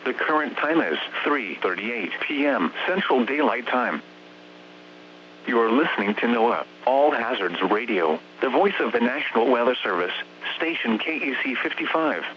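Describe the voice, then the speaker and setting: A synthetic American man's voice reading scripted weather bulletins as a radio announcer in a formal, measured way. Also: mid-range voice, animated. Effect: synthetic text-to-speech voice. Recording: muffled, noisy radio broadcast with hum.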